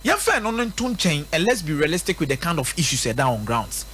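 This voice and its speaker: A man's voice: on the high side, sing-song, fast, fairly bright tone, a little hiss or hum. A man with a Ghanaian accent giving emphatic football analysis on live radio, repeating his points rhetorically for effect. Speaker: radio sports pundit. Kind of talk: live football commentary and analysis, mixing local language and English.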